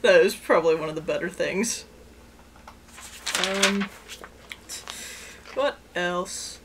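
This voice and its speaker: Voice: mid-range voice, takes it slowly, heavy background noise. A co-host chatting casually, recapping and commenting in an informal, blunt and sarcastic way. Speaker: co-host of a card game anime review.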